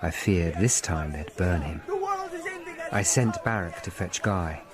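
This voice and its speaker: A man's voice: sits low, slow, a loud crowd. A man acting in a radio drama as a grave Tudor lawyer-investigator who recounts his own tale. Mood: grave narrating. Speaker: narrator and lead actor in radio drama.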